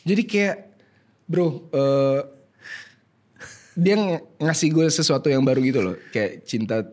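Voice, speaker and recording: A young man, talking casually as a podcast guest, relaxed and a little hesitant, often repeating his words. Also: fairly high pitch, slow. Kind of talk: casual chat.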